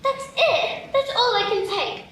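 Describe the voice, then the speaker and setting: A woman's voice: very high voice, expressive, measured pace, fairly bright tone, a little hiss or hum, exaggerated voice. A woman playing a curious, polite young girl in a live radio play, with prim, proper diction. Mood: exasperated. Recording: echoey live stage from far off.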